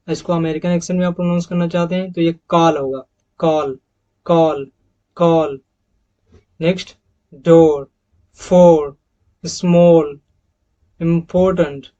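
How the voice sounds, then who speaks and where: An Indian man with a slightly high voice teaches English pronunciation over a slide deck, addressing viewers as friends. He says each example word several times in a row. The recording is dull and lo-fi. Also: steady tone, mellow. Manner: repeats model words slowly, addresses viewers as friends. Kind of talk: phonetics lesson over slides.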